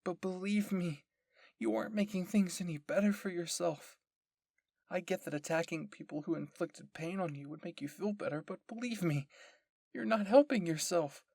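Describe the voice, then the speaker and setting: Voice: medium pitch, normal speed, creaky, mellow, no echo, voice pushed up. Performer voicing a desperate, guilt-ridden young woman soldier. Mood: tearful pleading.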